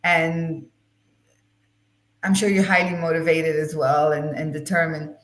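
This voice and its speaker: A woman hosting a conversational interview, pausing often as she forms long, hesitant questions. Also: sits low, level tone. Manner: hesitant, pauses while forming long questions. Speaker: live stream host, interviewer. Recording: roomy, echoey sound.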